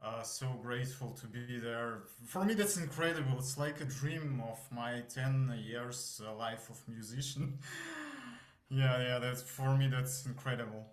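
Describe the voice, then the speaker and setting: A man in his thirties from Russia with a Russian accent, a voice student telling his story in a podcast interview, halting and searching for words. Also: mid-range voice. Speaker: voice student guest. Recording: echoey room.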